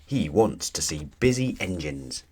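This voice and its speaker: A man's voice: extremely low pitch, sing-song, measured pace, dark tone, husky, full character voice. Guy doing a bossy middle-aged train boss for a kids book, firm, lots of up and down. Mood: brisk, bossy. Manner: firm, authoritative. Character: stern, bossy male railway controller, middle-aged.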